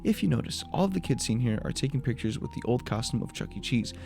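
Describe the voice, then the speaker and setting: A man's voice: medium pitch, animated, quick pace, creaky, over music. A man giving a scripted breakdown of a horror video as its creator, in voice-over narration.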